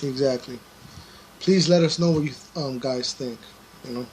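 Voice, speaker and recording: A man's voice: mid-range voice, moderate pace, darkish tone, slight background noise, poor audio. A young man co-hosting a podcast, chatting casually with many fillers and treading carefully as he reacts to a video. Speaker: podcast co-host.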